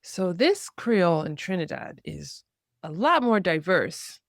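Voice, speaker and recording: A woman hosts a podcast, asking questions with curiosity and engagement. Her voice sits low in pitch. The sound is dry, with little room in it. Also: sing-song, unhurried, no echo. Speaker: podcast host. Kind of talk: podcast interview.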